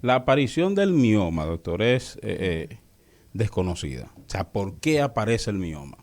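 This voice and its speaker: A man with a fairly low voice speaks as a doctor being interviewed. He explains in a didactic way, with halting phrasing and repeated words as he searches for the next term. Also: sing-song, darkish tone.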